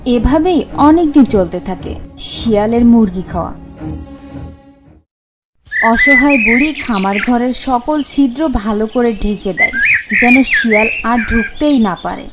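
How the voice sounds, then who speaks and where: A woman narrates a scripted children's story in a fairly high voice. Music plays clearly behind her as she tells the tale.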